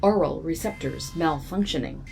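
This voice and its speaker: A woman voicing a female spaceship computer in an audio drama, speaking in a clipped, formal, deadpan way. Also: deep voice, moderate pace, gravelly, loud music behind the voice. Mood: dry, aloof. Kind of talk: scripted audio drama acting.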